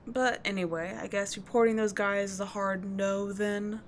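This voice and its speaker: A woman playing a tough but kind teenage delinquent schoolgirl in an audio roleplay, talking casually and bluntly to the listener, with swearing and halting, confiding asides. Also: medium pitch, steady tone, slight background noise, natural voice. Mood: indignant, sardonic.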